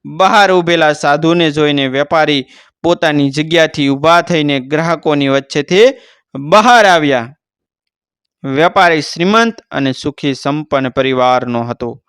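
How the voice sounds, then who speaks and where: A man with a Gujarati accent narrating a devotional folk tale in an unhurried, descriptive, folksy storytelling manner. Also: a little high, a bit bright, clear, resonant tone, dry recording, clean studio sound.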